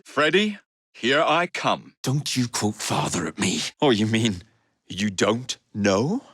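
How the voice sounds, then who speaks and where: A young English rogue from a Victorian action game, cocky and quick-witted. His delivery is flippant and theatrical, with animated rises and falls in pitch. The sound is dry and studio-clean, with no room around it. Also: husky, dry recording, exaggerated voice. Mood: bold, mocking. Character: cocky, roguish young male Victorian gang leader, witty and brash. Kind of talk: video game character voice lines.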